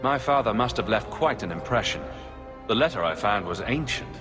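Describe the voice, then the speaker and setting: An actor playing a steady, dryly witty young hero in a fantasy video game, in a matter-of-fact way. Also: male voice, on the low side, normal speed, natural voice. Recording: long reverb, music behind. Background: music.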